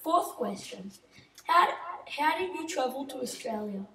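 A woman playing a female TV interviewer who reads out scripted questions briskly, in a school project role-play interview. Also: sits high, expressive, reverberant, background noise. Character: female TV interviewer hosting a show.

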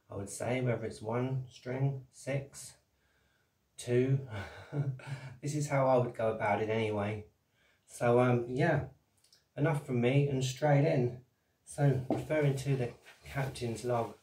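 A man's voice: mid-range voice, a little mellow. A man giving a cheerful, rambling how-to demonstration as channel host, talking at a desk in a small home workshop. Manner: cheery, rambling, laughs at own jokes. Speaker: cigar box guitar builder and channel host. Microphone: camera mic at desk distance. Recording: roomy, distant camera sound.

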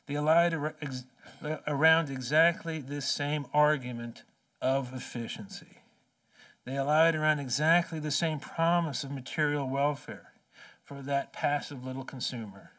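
A man in his forties giving an impassioned keynote speech at a podium, emphatic and rhetorical, with slow deliberate phrases. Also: mid-range voice, fairly bright tone. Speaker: keynote speaker. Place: large conference hall, at a podium. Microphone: podium microphone. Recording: distant conference hall sound.

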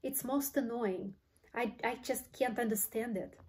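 A woman's voice: fairly high pitch, measured pace, darkish tone, close to an everyday voice. A woman voicing a fretful, apologetic male candy inventor who sighs and stammers over his words. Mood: resigned annoyance. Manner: sighing, stammering repetitions.

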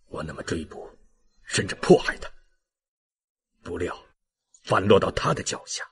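Man voicing a very husky, low-voiced shaken police inspector. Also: a bit bright, full character voice. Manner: halting self-questioning. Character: stern male police inspector, shaken, questioning his own conscience. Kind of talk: audiobook inner monologue.

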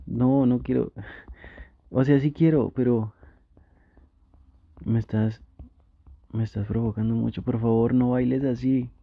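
A flustered young gamer boyfriend with a Mexican accent, played by a man for an intimate audio roleplay. He speaks slowly and haltingly in a gravelly, coaxing voice. The recording is dry and slightly dull. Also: mid-range voice, fairly even intonation, no room sound. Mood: flustered, pleading. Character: flustered, easily tempted gamer boyfriend, playfully pleading. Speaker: ASMR roleplay creator.

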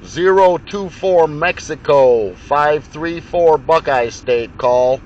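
A man's voice: a little high, slow pace, darkish tone, a little hiss or hum. A man from the USA, a radio operator, speaking in a direct, procedural way. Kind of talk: radio contact exchange. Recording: muffled radio reception.

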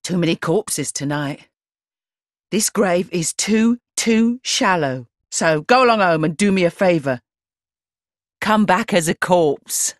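A spiteful townswoman in a dark video game, gloating with false pity. She drawls very slowly in a lowish, theatrical voice. The sound is dry. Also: lively intonation, no room sound. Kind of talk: video game character acting.

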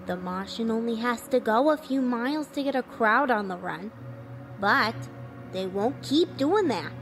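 A cynical, defiant survivor of an alien invasion, acted by a woman in a radio play. The voice is fairly high and slow, with blunt, folksy phrasing. Faint music plays underneath. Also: lively intonation. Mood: bleak, blunt. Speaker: actor in an amateur radio drama.

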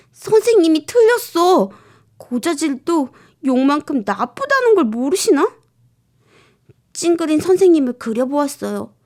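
Woman voicing an aggrieved, very animated young schoolboy. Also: high voice, pitched above the performer's own voice. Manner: earnest, plaintive, confiding. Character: indignant, aggrieved third-grade schoolboy narrating his story. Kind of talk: expressive children's storybook reading.

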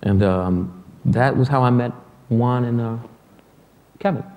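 A middle-aged man from Detroit tells stories on stage, his speech loose, joking and salted with profanity. His tone is mellow and dark. The sound rings with the long reverb of a hall. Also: medium pitch, unhurried. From Detroit, USA. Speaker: guest musician. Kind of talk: lecture interview on stage. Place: hall with an audience.

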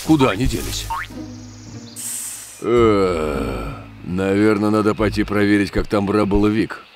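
An actor voicing a big, suspicious male bear, a tough forest guardian in a cartoon dub, gruff and emphatic. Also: lowish voice, unhurried, soft background music, natural voice. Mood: puzzled, suspicious.

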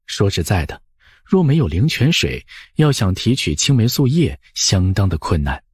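A man's voice: lowish voice, sing-song, moderate pace, a little mellow, slightly rough, no echo, studio quality. A man narrating an audiobook in a scripted storytelling style.

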